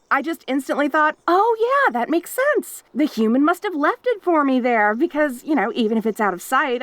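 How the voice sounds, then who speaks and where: A woman's voice: fairly high pitch, a bit bright, no room sound. A woman playing a hyper, clingy, perky young wolf girl in an audio roleplay, with a giggly, rambling, stammering and eager delivery. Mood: giggly, pleased. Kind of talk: audio roleplay addressed to the listener.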